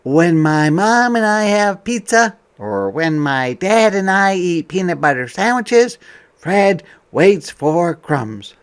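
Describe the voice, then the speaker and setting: Animated, unhurried man reading a picture book. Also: high voice, clear, resonant tone. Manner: expressive reading, softer conversational asides. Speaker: teacher reading aloud to young children. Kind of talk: interactive picture-book read-aloud with explanatory asides.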